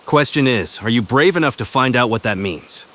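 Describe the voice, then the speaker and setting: Male actor as confident, possessive professor for intimate ASMR roleplay. Medium pitch, smug, teasing, commanding, deliberate pauses. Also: lively intonation, a bit bright, a little hiss or hum, close to an everyday voice. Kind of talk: boyfriend ASMR roleplay, spoken intimately to the listener.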